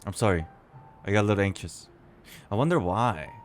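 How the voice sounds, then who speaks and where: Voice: low voice, animated, fairly quick, faint music behind. A streamer giving casual, playful commentary on a game playthrough, improvising along with the story.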